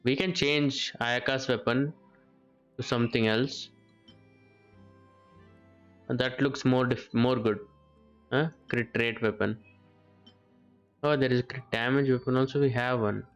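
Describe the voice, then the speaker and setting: A man's voice: mid-range voice, fairly even intonation, unhurried, long pauses, muffled recording. A man giving casual live game commentary, thinking aloud and often repeating his phrases. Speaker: game streamer. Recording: game music behind the voice. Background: video game music.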